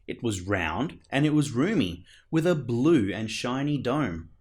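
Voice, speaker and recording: A man reading a children's picture book aloud as a storyteller, in a theatrical manner with dramatic pauses. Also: medium pitch, big pitch swings, a little mellow. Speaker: storyteller, show host.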